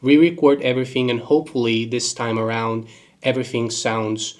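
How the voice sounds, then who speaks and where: A young man with a congested nose from a cold, giving a chatty, rambling reading wrap-up in quick bursts. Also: medium pitch, steady tone. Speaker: book reviewer. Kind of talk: casual monthly reading wrap-up.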